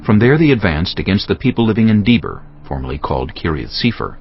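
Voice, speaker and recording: A man narrating scripture from a script in a measured, solemn storytelling manner. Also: on the low side, sing-song. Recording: dull lo-fi, music behind. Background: music.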